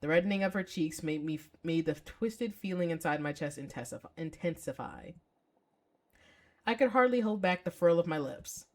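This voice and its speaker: A brooding young man from a visual novel, read by a woman, slightly low in pitch. He is lovelorn and bitter, with wistful, sardonic self-pity. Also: animated, normal speed, natural voice.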